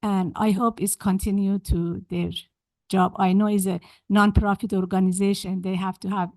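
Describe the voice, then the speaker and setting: A woman from Denmark giving an emotional, halting public comment at a city council meeting, in non-native phrasing. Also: mid-range voice, darkish tone, no room sound, poor audio. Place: council chamber.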